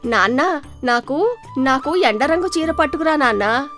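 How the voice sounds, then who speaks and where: A girlish farmer's daughter from an animated folktale, played by a woman. She speaks in a very high voice over music. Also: moderate pace. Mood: eager. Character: second farm daughter, young, asking father for gifts. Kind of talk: dramatised animated folktale dialogue.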